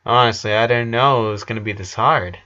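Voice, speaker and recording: A man's voice: fairly low pitch, steady tone, fairly quick, pushed and bright, muffled recording. Man voicing a chatty, wistful teenage boy. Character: weary, friendly fourteen-year-old boy, well-travelled.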